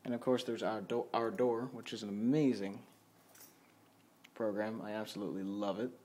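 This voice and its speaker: A man's voice: lowish voice, steady tone, moderate pace, a little mellow. A man giving an informal, off-the-cuff walkthrough of his home studio setup, talking casually and with some self-deprecation. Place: dim room at a home computer setup. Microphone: handheld camera or phone mic. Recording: music playing in the background.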